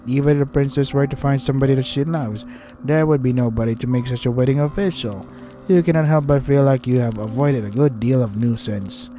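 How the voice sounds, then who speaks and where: Bright-voiced man, sarcastic gaming commentary over music. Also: mid-range voice, steady tone, a little fast. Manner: foul-mouthed, sarcastic, mocking asides. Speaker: let's play host reading a visual novel aloud. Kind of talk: gaming commentary mixed with reading aloud.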